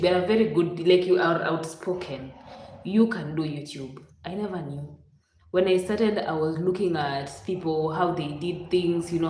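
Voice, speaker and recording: Kenyan woman streamer, lower voice, loose friendly rambling, echoey home room on a phone. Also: a little mellow. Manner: chatty, loose and rambling, mixes in Swahili. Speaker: YouTube live streamer. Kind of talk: casual live stream chat with viewers. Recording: echoey room with faint noise.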